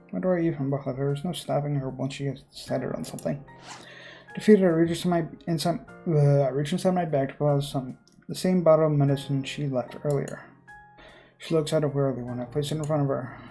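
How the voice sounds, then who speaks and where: A person reading visual novel narration aloud, breaking off for informal, halting commentary. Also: medium pitch, fairly even intonation, gravelly, mellow, soft background music.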